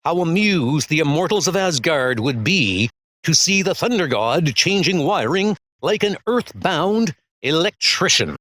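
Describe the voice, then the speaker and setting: A man's voice: on the high side, lively intonation, a little slow, a bit bright, no room sound. A man voicing a heroic, grave thunder god with lofty, formal, declamatory speech in a superhero comic dub. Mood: proud, deliberate.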